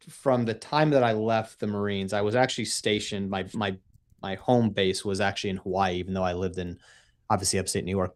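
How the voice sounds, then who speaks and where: A man in his thirties guesting on a radio show as a coach, speaking with an emphatic coaching cadence and a casual, easygoing manner. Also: lowish voice. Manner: laughs easily, casual. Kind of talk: radio interview.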